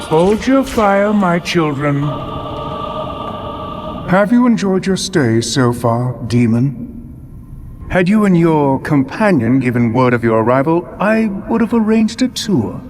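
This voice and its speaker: A man playing a haughty alien zealot villain in a game cinematic, speaking in a grandiose, mock-courteous and deliberate way. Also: on the high side, expressive, background noise. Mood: mock-courteous menace. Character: haughty alien Covenant prophet, silky male zealot villain.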